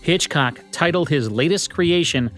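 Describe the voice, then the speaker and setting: A man's voice: fairly high pitch, expressive, measured pace, fairly bright tone. A man with an American accent reading scripted narration as a podcast co-host. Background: music.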